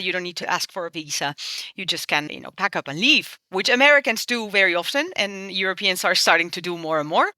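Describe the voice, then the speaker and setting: A woman's voice: mid-range voice, sharp tone, no room sound. A middle-aged woman from Spain, a member of the European Parliament, explaining her views earnestly in an interview. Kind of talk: interview answers.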